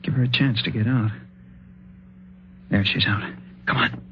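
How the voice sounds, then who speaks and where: Actor as jumpy young husband, dull vintage radio. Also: male voice, medium pitch, steady tone, measured pace, lightly acted. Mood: tense, hushed. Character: practical young husband, skeptical but jumpy. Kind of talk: 1950s radio suspense acting. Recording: muffled, noisy old radio recording.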